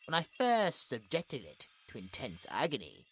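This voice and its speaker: A cold, imperious female scientist, played by a woman for a horror audio drama. Her low voice is forceful and crisply theatrical, swinging dramatically in pitch. Faint music plays behind her clinical delivery. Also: wildly swooping pitch, moderate pace, heavily acted, poor audio. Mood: clinical, detached. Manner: theatrical, clinical log narration with dramatic emphasis.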